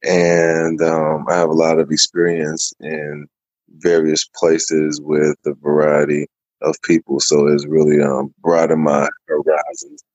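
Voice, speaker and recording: A very low-voiced man speaks as a podcast guest, unhurried and easygoing, often pausing to gather his thoughts. The sound is dry and a little muffled. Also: completely flat delivery, a little mellow, no room sound. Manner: easygoing, measured, with frequent pauses. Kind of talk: casual interview answers.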